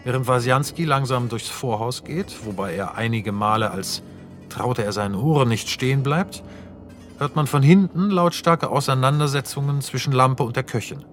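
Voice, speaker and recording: Guy reading stage directions for a radio play, steady and even, plain mid voice, faint music behind. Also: mid-range voice. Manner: measured, even, descriptive. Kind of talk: scripted narration.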